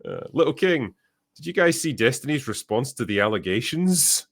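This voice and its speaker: A man's voice: medium pitch, very animated, normal speed. A man hosting a podcast, reading out viewer messages and chatting casually, with some offhand swearing. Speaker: podcast host.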